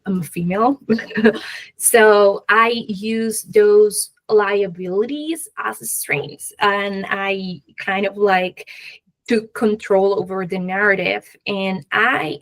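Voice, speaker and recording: A woman with a Peruvian accent, talking as a guest expert in a conversational interview, halting and often laughing lightly mid-sentence. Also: mid-range voice, steady tone. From Peru. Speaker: guest expert, venture capital professional.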